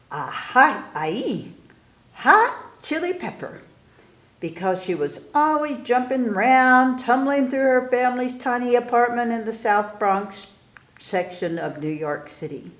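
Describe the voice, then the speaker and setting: A woman hosting a library story time, reading aloud to children with deliberate phrasing. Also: on the high side, lively intonation. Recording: roomy, echoey indoor sound.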